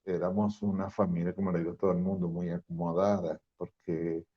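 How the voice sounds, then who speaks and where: Low-voiced older Venezuelan man. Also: level tone, a little fast, breathy edge. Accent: Venezuelan Spanish. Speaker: pastor and guest speaker. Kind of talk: testimony talk. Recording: dull lo-fi sound.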